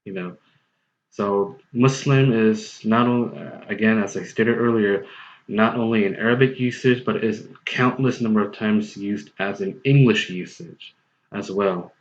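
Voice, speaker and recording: Low-voiced man, rambling and earnest. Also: unhurried. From United States. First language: Hindi-Urdu. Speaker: tutorial host. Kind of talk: casual explanatory talk. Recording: dull lo-fi recording.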